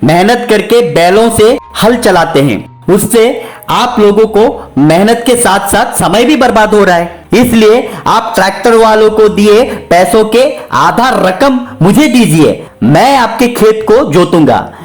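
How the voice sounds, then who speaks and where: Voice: mid-range voice, lively intonation, slow pace, few pauses, faint music behind. Earnest, pleading poor working man voiced by a storyteller. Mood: earnest, persuading. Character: poor, sentimental young working man with old scooter.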